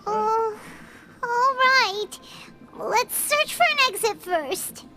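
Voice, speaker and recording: A woman voicing a tiny, eager and kind female fairy companion in a video game, talking in a high, bouncy way. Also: expressive, drawn-out words, full character voice. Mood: soothing. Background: loud game music.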